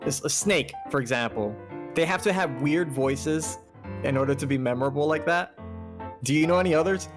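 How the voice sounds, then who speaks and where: A man's voice: a little high, moderate pace. A man co-hosting a gaming podcast, chatting casually and often repeating his phrases. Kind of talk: casual chat during a game let's play. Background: music playing behind the voice.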